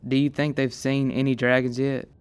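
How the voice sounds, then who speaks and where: A man's voice: mid-range voice, level tone, moderate pace, clean tone, close to an everyday voice. A teenage male playing an anxious young boy in an amateur radio play, speaking in plain folksy phrases. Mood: wondering. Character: Kip, anxious young boy, spooked and wondering. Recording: music under the voice.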